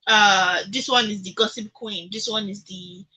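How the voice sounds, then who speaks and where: A woman's voice: mid-range voice, steady tone, measured pace, pushed and bright, slightly rough. A woman in a conversational radio discussion, opinionated, telling stories with quoted dialogue and rhetorical questions. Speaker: radio talk show guest.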